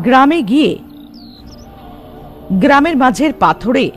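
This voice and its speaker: A man's voice: very high-pitched, expressive, measured pace, a bit bright. A man reading scripted narration for a horror story cartoon. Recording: long hall reverb over music.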